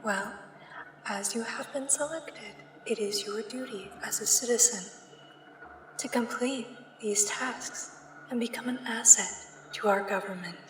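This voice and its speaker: A slow, hushed woman's voice drifts in long reverb over faint ambience. It is a woman performing an uncanny government examiner in a sci-fi ASMR roleplay, soothing, formal and measured. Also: fairly high pitch, close to an everyday voice. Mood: formal, persuasive. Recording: echoey long reverb, faint background noise.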